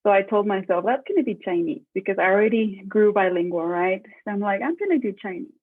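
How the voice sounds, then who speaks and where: A woman, chatting casually as a podcast guest, relaxed and rambling with frequent fillers. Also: mid-range voice, quick pace. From Mexico. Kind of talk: casual interview chat. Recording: tinny phone-like remote call line.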